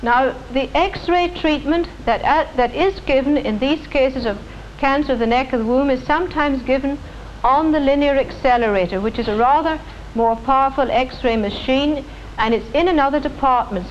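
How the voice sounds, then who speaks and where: High pitched lady doctor explaining stuff carefully, old 50s tv sound, muffled and noisy. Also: moderate pace. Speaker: doctor presenting radiotherapy treatment. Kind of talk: explanatory live demonstration for television. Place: hospital radiotherapy department. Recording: dull, noisy 1950s television recording.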